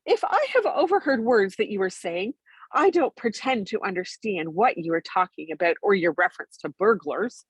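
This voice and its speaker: A woman's voice: mid-range voice, lively intonation, normal speed, breathy edge, no echo, low-quality recording. A woman voicing a small male hobbit in a storybook, prim, formal and easily ruffled. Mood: indignant, dignified. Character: small, offended male hobbit standing on his dignity.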